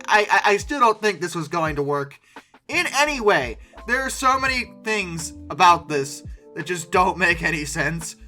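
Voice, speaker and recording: An incredulous, sarcastic host giving casual reaction commentary, with emphatic delivery and dramatic pauses. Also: medium pitch, sharp tone. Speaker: host of a paleoart reaction video. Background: music.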